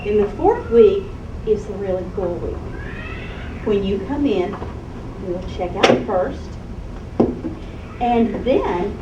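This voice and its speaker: A woman's voice: medium pitch, highs cut off. A woman teacher instructing young children in a school library, projecting her voice across the room and often checking in with questions. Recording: echoey, noisy room, distant microphone.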